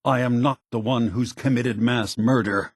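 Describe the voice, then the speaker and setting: A man playing a weary, grave synth leader in a video game, speaking deliberately and solemnly with a husky voice. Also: medium pitch, fairly even intonation, no echo, natural voice. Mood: bitter anger. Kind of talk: scripted video game voice acting.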